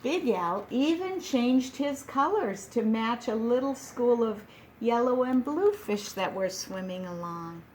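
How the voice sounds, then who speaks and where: A woman reads a picture book aloud to children, unhurried and expressive, lingering on words for effect. Her voice sits a little high, and a faint hiss runs under the recording. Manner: gentle, expressive, slow with dramatic pauses.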